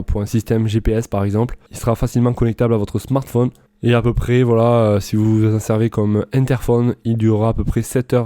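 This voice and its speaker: Man presenting products, bright close dry voice. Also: lowish voice, dry recording. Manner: informal, loosely improvised explaining, frequent "voilà". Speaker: presenter of product comparison videos. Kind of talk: casual product review voice-over.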